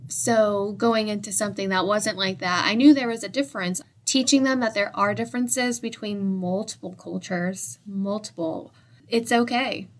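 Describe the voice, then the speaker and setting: A woman's voice: medium pitch, fairly even intonation, moderate pace. A young woman co-presenting in a loose, conversational way, often using "like" as filler. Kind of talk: casual discussion.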